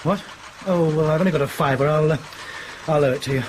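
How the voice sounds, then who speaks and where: A man's voice: a little high, fast talker, close to an everyday voice. An actor playing a flirtatious, bossy airline captain in a radio drama, bantering and touchy about his rank. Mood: peeved, bantering. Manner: bantering, stammering slightly when flirting. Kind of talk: broadcast radio drama acting. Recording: noisy vehicle background.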